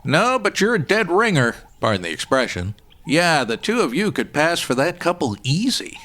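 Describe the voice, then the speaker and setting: An actor playing a folksy, wry state trooper with easygoing authority in a comedy audio drama. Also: male voice, on the high side, big pitch swings, moderate pace, fairly bright tone. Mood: chatty.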